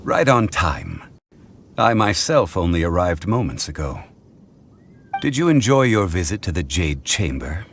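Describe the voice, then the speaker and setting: A deep, formal scholarly advisor. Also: male voice, big pitch swings, slight background noise, heavily acted. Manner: measured, formal and explanatory. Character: composed, learned man, a calm scholarly advisor. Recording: game audio with music behind.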